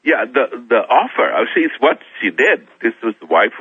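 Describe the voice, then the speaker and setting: A middle-aged man from Kenya, a guest on a talk show, telling his own story in a halting way, often restarting his words. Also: medium pitch, very animated, husky. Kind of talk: personal testimony in a talk show interview. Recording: thin phone-like sound, dry.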